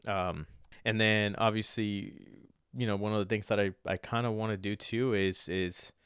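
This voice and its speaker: A man's voice: on the low side, fairly even intonation, normal speed, a bit bright, smooth, dry recording, studio quality. A man co-hosting a podcast in casual, rambling chat. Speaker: podcast co-host. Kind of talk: casual chat about personal goals and video games.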